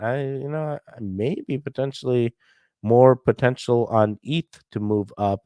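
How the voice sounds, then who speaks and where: A man's voice: medium pitch, a little mellow, no room sound, clean studio sound. A man hosting a casual livestream, chatting to viewers about price charts while congested from a cold. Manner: chatty, talks straight to viewers and chat. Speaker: crypto livestream host. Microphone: headphones with mic.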